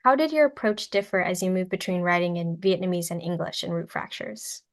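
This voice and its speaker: A woman's voice: fairly low pitch, brisk. A woman interviewer who mostly murmurs agreement and reads out her questions. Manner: brief backchannel, reads questions.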